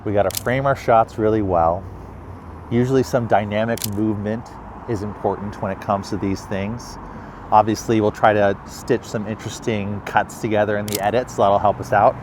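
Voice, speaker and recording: A man's voice: lowish voice, steady tone, moderate pace, noisy background. A man speaking as a video creator, presenting in a vlog style.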